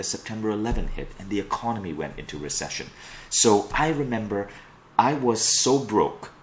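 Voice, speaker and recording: A man's voice: low voice, a little hiss or hum. A middle-aged man from Malaysia presenting an online self-improvement training as a coach, with an emphatic, promotional delivery. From Kuala Lumpur, Malaysia. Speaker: personal growth coach and trainer.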